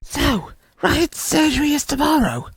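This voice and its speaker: Comic dub role: anxious little robot bear, squeaky high, animated, earnest woman performing. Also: a little slow, full character voice. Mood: hopeful. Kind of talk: amateur comic dub acting.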